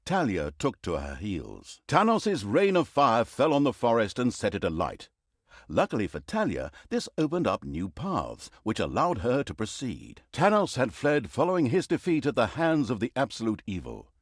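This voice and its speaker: An actor playing a sarcastic, pompous storyteller, narrating a fantasy video game with dry, mock-dramatic humor. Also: male voice, mid-range voice, wildly swooping pitch, moderate pace, no room sound. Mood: mock-epic drama. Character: sarcastic, pompous male storyteller of evil deeds. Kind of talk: theatrical scripted fantasy narration.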